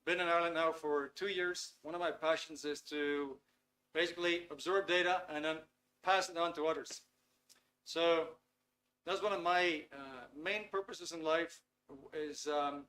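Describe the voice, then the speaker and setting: A man's voice: fairly high pitch, fairly bright tone. A man from the Netherlands with a Dutch accent, hosting a live webinar from a small studio, chatting casually with lots of fillers and reading viewers' messages aloud. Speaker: webinar host. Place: small warm studio under lights.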